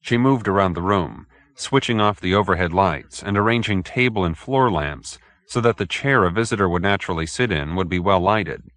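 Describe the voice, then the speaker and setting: A man's voice: sits low, normal speed, hardly any pauses, fairly bright tone, dry recording. A man narrating fiction from a script as an audiobook reader.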